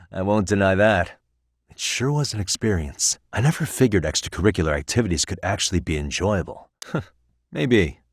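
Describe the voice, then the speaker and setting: A casual, wry detective hero from a video game. His voice is low and swings widely in pitch as he talks in an easy, conversational, encouraging way, recorded very dry and close. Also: no echo. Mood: warm, encouraging. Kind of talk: English game dub voice acting.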